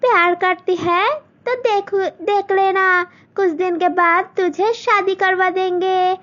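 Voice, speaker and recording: Mocking, jealous village girls voiced by a woman sneer in a high, fairly soft voice. The tone is taunting and scornful in a lo-fi cartoon recording. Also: steady tone, slow pace, full character voice.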